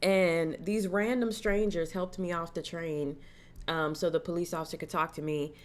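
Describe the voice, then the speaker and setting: A young woman vlogger telling a personal story in a casual, animated way, slipping into the voices of the people she quotes. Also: lowish voice, normal speed. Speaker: horror vlogger.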